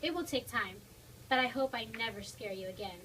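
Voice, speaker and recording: Gentle teen big sister, acted by a woman reading aloud, troubled but reassuring, storybook, distant mic, bit of noise. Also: fairly high pitch, animated, a little fast, natural voice. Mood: gentle, sad. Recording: distant mic, noisy room.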